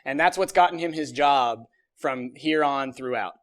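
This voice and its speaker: A man co-hosting a casual group podcast, talking in an animated, emphatic way. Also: mid-range voice, moderate pace. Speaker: podcast co-host.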